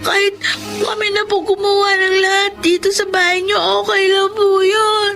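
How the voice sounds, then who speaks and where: A woman's voice: very high-pitched, level tone, moderate pace, a bit bright, soft background music. An actress voicing an earnest, pleading young Filipino girl in a radio drama. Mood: tearful pleading. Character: earnest, pleading orphan girl, older sister.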